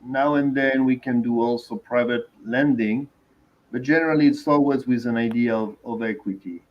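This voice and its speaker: A man from Venezuela with a Venezuelan Spanish accent, introducing himself as an investor on a panel, measured and hesitant. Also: medium pitch, lo-fi sound.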